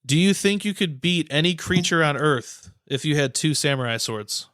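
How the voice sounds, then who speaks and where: Joking podcast co-host, dry close sound. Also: male voice, on the high side, expressive, a bit bright, no echo. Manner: joking, quick teasing asides. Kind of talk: casual banter.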